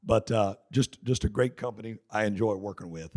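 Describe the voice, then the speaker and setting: A middle-aged man from North Carolina, giving a joking, chatty seminar talk with a raspy voice hoarse from laryngitis. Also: lowish voice, fairly quick, a little mellow. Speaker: seminar speaker. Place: conference hall. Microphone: handheld mic.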